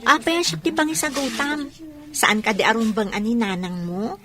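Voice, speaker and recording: A woman performs a playful young village sweetheart with a high voice and an Ilocano Filipino accent. She acts in a radio drama manner. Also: moderate pace, crowd noise, lightly acted. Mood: teasing.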